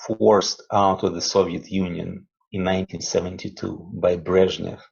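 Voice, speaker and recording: A man from Russia with a Russian accent, answering interview questions slowly and haltingly, often stopping to search for words. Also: deep voice, little pitch movement, gravelly, a little mellow, slightly rough, muffled recording. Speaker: interview guest, poet and theologian.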